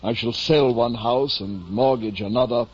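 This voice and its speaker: An actor playing a delusional, grandiose gentleman knight near fifty, speaking lofty archaic English in a 1950s radio drama. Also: mid-range voice, moderate pace, slightly rough, natural voice. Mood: pompous, planning. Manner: lofty, archaic, pompous speech. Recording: muffled vintage 1950 radio broadcast.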